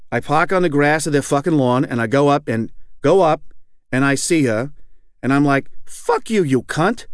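A man's voice: a little high, dry recording, studio quality. A man reading aloud as an angry, profane middle-aged man in recovery, rambling and repeating himself. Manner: rambling, profane, keeps asking "you know what I'm saying". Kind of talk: reading aloud a fictional monologue.

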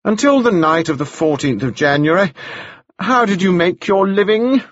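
A man's voice: sits high, expressive, normal speed, no room sound, muffled recording, voice pushed up. A man voicing a sly male prosecutor who questions a witness with pointed, pleasant-sounding phrasing. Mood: probing.

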